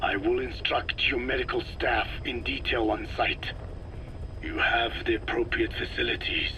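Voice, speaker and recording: A man's voice: medium pitch, fairly even intonation, background noise, close to an everyday voice. An actor playing a grave, learned old man, a scientist lecturing formally in a video game cutscene. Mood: solemn, firm. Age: older. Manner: solemn, deliberate, formal lecturing.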